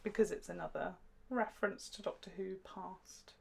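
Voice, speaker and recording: A British man chatting casually and excitably as he hosts a reaction channel, explaining things to his co-host. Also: sits high, normal speed, creaky, breathy edge. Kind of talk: casual chat.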